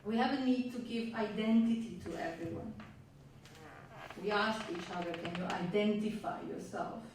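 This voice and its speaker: A woman from Yugoslavia, a native Hungarian speaker, performing a spoken-word monologue on a venue stage in a measured, reflective way with wry asides. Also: medium pitch, noisy background. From Yugoslavia (Vojvodina). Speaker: stage storyteller. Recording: roomy, distant live hall sound.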